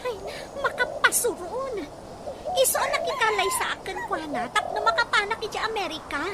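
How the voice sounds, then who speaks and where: A high, animated voice of an embittered young wife in a radio drama, with an Ilocano accent. She complains and scorns with open emotion. Clear noise and birdsong sit behind her. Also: normal speed, crowd noise. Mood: dismayed, complaining. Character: bitter, aggrieved young wife quarrelling with mother and husband.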